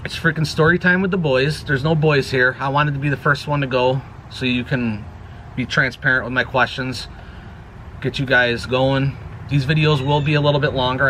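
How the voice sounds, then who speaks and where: A man's voice: mid-range voice, steady tone, normal speed, bright, forceful tone, a little hiss or hum. A man giving a casual, rambling channel update outdoors, often correcting himself mid-sentence. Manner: rambling, self-correcting, chatty. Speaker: YouTube vlogger. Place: outdoors near a woodpile. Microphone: action camera.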